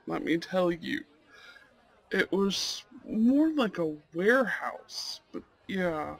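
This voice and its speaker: A man playing a shy, polite, slightly dorky young goth on a blind date, in a romantic ASMR roleplay, with a husky, creaky voice. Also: high voice, full character voice, low-quality recording. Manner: hesitant, soft-hearted, nervous little laughs.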